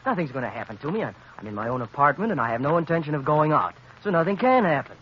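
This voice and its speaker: An actor playing a carefree, dismissive fiance in an old-fashioned radio drama style. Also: male voice, on the high side, sing-song, fast talker. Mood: breezy, reassuring. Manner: breezy, reassuring, dismissive. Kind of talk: 1930s radio acting. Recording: muffled, noisy 1930s radio recording.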